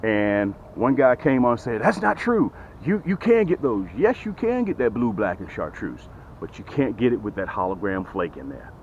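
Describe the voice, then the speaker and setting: A middle-aged man recording outdoors at a lakeshore, talking straight into a camera held close, pitching his fishing gear and repeating "okay?" and "guys" often. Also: moderate pace, dull audio, a little hiss or hum. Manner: animated, repeats "okay?" and "guys", gestures with hands. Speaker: fishing vlogger and tackle seller. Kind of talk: energetic sales pitch and fishing vlog. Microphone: camera held close to the face.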